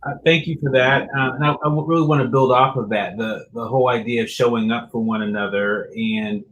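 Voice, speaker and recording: Halting male panel moderator. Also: medium pitch, fairly even intonation, fairly bright tone, lo-fi sound. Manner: halting, many uh and um fillers.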